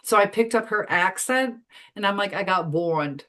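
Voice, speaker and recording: A woman's voice: medium pitch, normal speed, sharp tone. A woman talking casually as an interview guest on a video call, chatty and full of fillers. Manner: chatty, many fillers and restarts. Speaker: community founder guest.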